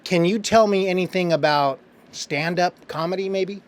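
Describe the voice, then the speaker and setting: A man's voice: high-pitched, normal speed. A man hosting a casual interview. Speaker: interviewer, host.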